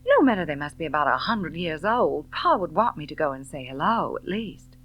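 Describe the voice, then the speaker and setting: A woman voicing a headstrong, impatient young Southern woman in an audiobook novel, brisk and emphatic. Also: low voice, sing-song, a bit bright, soft background music, heavily acted, low-quality recording.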